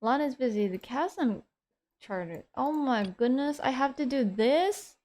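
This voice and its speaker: A woman's voice: high-pitched, animated, takes it slowly, darkish tone, slight background noise. A woman streaming a video game, chatting casually, exclaiming and laughing often as she plays. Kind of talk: casual gameplay commentary.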